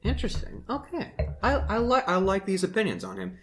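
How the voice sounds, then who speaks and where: A man's voice: high-pitched, huge pitch swings, brisk, a bit bright. Guy hosting a casual chat, asks lots of questions, really encouraging, voice goes up and down a lot. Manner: encouraging, often approving answers. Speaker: host asking questions.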